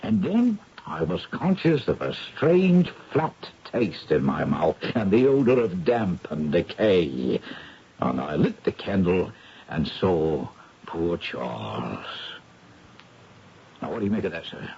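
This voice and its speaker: An actor playing a wordy, deferential British police superintendent in an old radio mystery, with a husky, rough-edged voice. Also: male voice, low-pitched, very animated, takes it slowly, warm tone, a little hiss or hum, heavily acted. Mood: confiding. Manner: colloquial, deferential, hesitant phrasing. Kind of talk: old-time radio drama acting. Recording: dull old radio recording.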